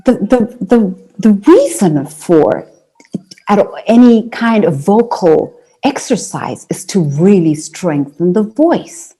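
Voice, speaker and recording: Woman, from Ethiopia, Amharic first language, mellow dark tone, storytelling interview guest. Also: medium pitch, lively intonation, slow pace, slightly rough, low-quality recording. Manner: storytelling, with restarts and fillers. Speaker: interview guest, singer and speaker. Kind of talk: conversational interview.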